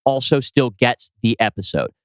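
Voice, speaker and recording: Clear-voiced man co-hosting a podcast. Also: mid-range voice, expressive, moderate pace, no room sound, studio quality. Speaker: podcast co-host. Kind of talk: casual chat.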